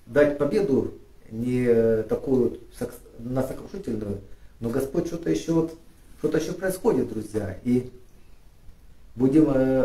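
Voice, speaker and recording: A middle-aged man from Ukraine preaching a sermon, halting with fillers and restarts, rising and emphatic when he reads scripture aloud. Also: mid-range voice, mellow. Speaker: pastor. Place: church studio with flags and lit cross. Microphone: far camera. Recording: echoey room, voice from a distance.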